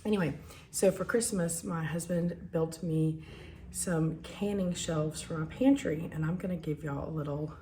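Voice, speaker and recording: Hoarse, low-voiced Southern US woman. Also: measured pace, warm tone, slight background noise. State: slightly hoarse after a cough and lost voice. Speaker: home canning video host. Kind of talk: casual chat to viewers.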